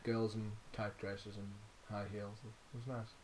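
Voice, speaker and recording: A young man joining a casual podcast in a bedroom, dry and sarcastic, making deadpan comebacks. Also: fairly low pitch, a little slow, a little hiss or hum, rough recording. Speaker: podcast co-host. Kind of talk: casual banter among friends. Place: a bedroom at home.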